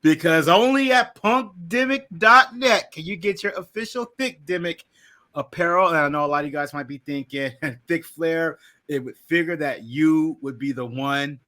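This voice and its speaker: A man's voice: fairly high pitch, moderate pace, bright, forceful tone. A man co-hosting a podcast, joking and hamming it up while plugging merchandise. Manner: joking, hammy, teasing. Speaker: podcast co-host. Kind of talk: playful podcast promo banter.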